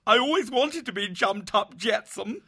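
A man's voice: high voice, expressive, moderate pace, bright, forceful tone, pitched above the performer's own voice, heavily acted. A man voicing a cheeky, clumsy young hare in a storybook, with a silly British drawl. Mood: amused, cheeky.

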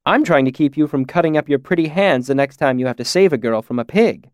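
A poised young woman, voiced by a male narrator in a storybook reading. She teases with wry, matter-of-fact confidence and lively intonation, recorded very dry and close. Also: fairly high pitch, quick pace, no room sound, natural voice. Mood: teasing.

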